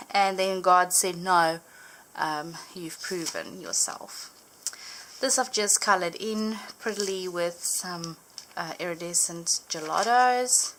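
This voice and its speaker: A young woman whose first language is Afrikaans, chatting casually as she flips through her journaled Bible, full of little fillers and gentle enthusiasm. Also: mid-range voice, a little hiss or hum, low-quality recording. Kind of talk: casual show-and-tell narration. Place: indoors at a table, hands over an open book.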